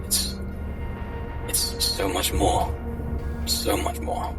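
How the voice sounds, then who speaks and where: A man playing an awestruck astronaut on a spacewalk in improvised cinematic roleplay, stammering and halting. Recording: distant voice under music.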